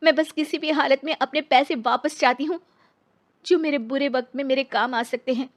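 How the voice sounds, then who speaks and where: An earnest, wronged young divorced woman from a cartoon story, voiced by a woman. Her voice is high and her delivery is plaintive drama dialogue. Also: steady tone, moderate pace, natural voice. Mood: aggrieved.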